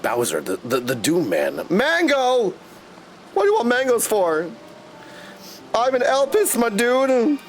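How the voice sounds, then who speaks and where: High-pitched, animated male game streamer. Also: takes it slowly. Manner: exuberant, slangy, chatty. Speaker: game livestreamer. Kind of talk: casual live stream chat and game commentary. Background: game music.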